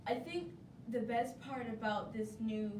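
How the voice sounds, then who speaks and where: A woman's voice: fairly high pitch, a little slow. A woman who acts in a stage play, answering casually in an interview. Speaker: stage play cast member. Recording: echoey room, distant mic, background noise.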